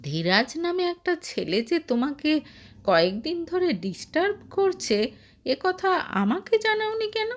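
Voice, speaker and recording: A woman's voice: very high-pitched, sing-song, measured pace, pitched above the performer's own voice, heavily acted. A woman voicing a stern, protective, old-fashioned husband, earnest and reproachful, in a dramatised story. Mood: gently reassuring. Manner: earnest, reproachful questioning and advising.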